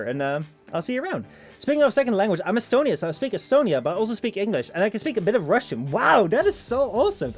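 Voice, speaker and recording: Animated Dutch-accented male streamer. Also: high-pitched. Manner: rambling, filler-heavy, swears often. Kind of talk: casual stream chat, reading out chat messages.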